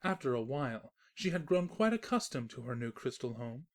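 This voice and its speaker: Low voiced woman reading funny storybook narration, animated delivery, bg music. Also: brisk. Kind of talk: scripted comedic storybook narration.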